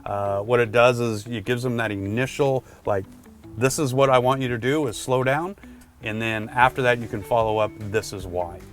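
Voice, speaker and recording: A man's voice: mid-range voice, normal speed, faint music behind. A man presenting product tips in a casual, conversational instructional style, talking directly to the viewer.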